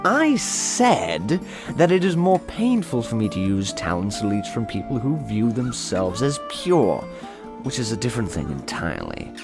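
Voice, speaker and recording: An actor playing a cynical, indolent manor lord with a sardonic, pompous, lecturing manner in a fantasy audiobook. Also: male voice, on the low side, wildly swooping pitch, a little slow, full character voice. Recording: music behind the voice.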